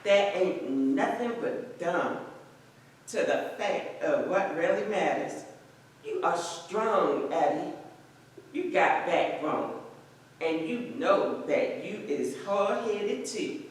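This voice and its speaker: A woman playing a proud, loving mother, slow and folksy in a staged play reading. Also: fairly low pitch. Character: proud, loving Southern mother reading her letter aloud. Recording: very echoey room, distant mic, faint noise.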